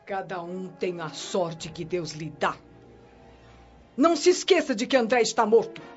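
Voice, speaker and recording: A woman's voice: medium pitch, sing-song, unhurried, husky. An actress with a Brazilian accent playing a cold, scolding mother in a radio soap opera, stern and impatient. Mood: cold, irritated. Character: cold, scolding remarried mother silencing her daughter. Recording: muffled audio with music underneath.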